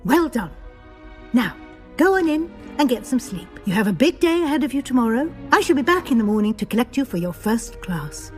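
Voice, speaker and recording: A woman's voice: a little high, big pitch swings, fairly quick, soft background music. A woman playing a kindly, proper deputy headmistress in a video game, polite and welcoming, with a British accent.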